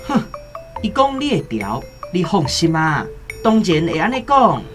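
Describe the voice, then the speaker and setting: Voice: medium pitch, big pitch swings, measured pace. A performer with a Taiwanese accent playing a spiteful, scheming young male student in a radio drama, sneering and exaggerated, with an echoing recording. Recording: echoing voice over loud music.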